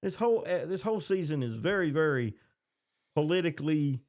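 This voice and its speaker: A man's voice: on the high side, animated, a little fast, darkish tone. An American man hosting a podcast, chatting casually about TV shows in reaction to them. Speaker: podcast host.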